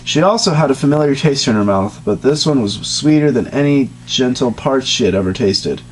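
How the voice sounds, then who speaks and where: Deadpan young man reading aloud. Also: brisk, a little hiss or hum, low-quality recording. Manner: deadpan reading broken by fits of high laughter. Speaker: dramatic reader. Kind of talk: comedic dramatic reading of fan fiction.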